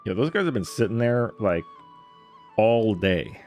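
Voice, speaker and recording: Male game streamer, gravelly low register, wry casual remarks, faint music behind him. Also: animated, a little slow, a little mellow. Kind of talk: casual live playthrough commentary.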